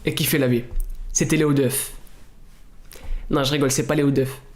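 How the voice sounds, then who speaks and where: A man's voice: medium pitch, fairly even intonation, a little fast, darkish tone, a little hiss or hum. A man on a YouTube channel who tells an internet mystery in a casual, joking way and talks straight to his viewers. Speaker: YouTube storyteller. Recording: roomy sound with background music.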